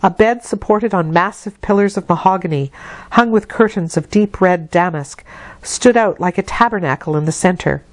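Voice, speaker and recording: A woman storyteller reading a classic novel aloud in an expressive literary narration. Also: lowish voice, normal speed, dry recording.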